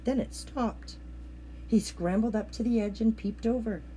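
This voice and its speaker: A woman reading a children's picture book aloud as an expressive storyteller. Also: on the high side, unhurried, a little hiss or hum, poor audio. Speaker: storybook reader.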